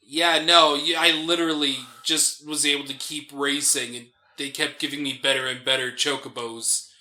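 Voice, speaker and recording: A man's voice: medium pitch, flat delivery, moderate pace, bright, forceful tone. A man giving casual, playful, slangy commentary while he plays a video game with a friend. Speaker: co-host playing a video game.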